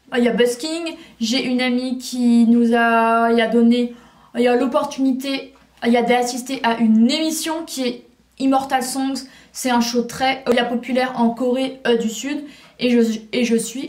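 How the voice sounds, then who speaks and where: A young French woman vlogging in a casual, hesitant way, with many fillers and restarts. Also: fairly high pitch. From France. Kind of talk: casual chat. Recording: echoey room, voice a bit far from mic.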